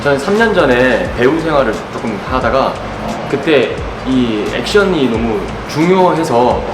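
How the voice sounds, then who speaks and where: A man's voice: medium pitch, big pitch swings, a little slow. Man, thirties, hesitant with fillers, off-mic, music playing. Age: 30s. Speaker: boxing student. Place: boxing gym. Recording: distant echoey mic with music.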